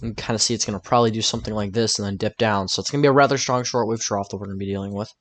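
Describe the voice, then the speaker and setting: A man's voice: fairly low pitch, fairly even intonation, very fast. A man talking informally through a weather forecast over maps, thinking aloud in a casual, tentative way. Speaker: weather forecaster presenting his own video.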